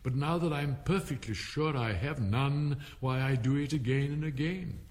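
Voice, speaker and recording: A man's voice: mid-range voice, moderate pace, darkish tone, voice pushed up, lightly acted. A man playing an eccentric, boastful, testy old grey-haired father who declaims comic storybook verse. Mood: boastful, jolly.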